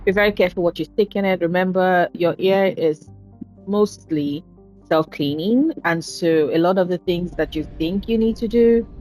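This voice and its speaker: A woman casually commentating her own game playthrough, chatty and self-deprecating, speaking low and soft because talking hurts right now. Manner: chatty, self-deprecating, swears at setbacks in play. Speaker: gaming channel host. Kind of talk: casual let's-play commentary.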